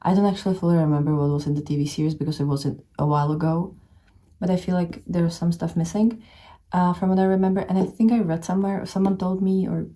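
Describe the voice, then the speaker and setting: A young woman from the Czech Republic with a Czech accent, talking casually about her reading plans. Her voice is low-pitched and very mellow. She rambles along with plenty of fillers and restarts. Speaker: book vlogger. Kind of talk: casual reading vlog chat. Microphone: camera showing only her hands.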